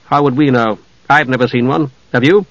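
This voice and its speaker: A man voicing a cold, suspicious dark elf wizard with a quiet, sardonic manner, in a storybook reading. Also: mid-range voice, a little fast, dry recording, lo-fi sound, close to an everyday voice. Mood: suspicious, irritable.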